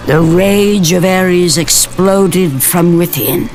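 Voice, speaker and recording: Grave storyteller, low voice over music. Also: female voice, darkish tone. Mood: grave, ominous. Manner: solemn, dramatic, deliberate. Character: grave, omniscient storyteller of a Greek myth. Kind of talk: scripted epic game narration. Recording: orchestral game music behind voice.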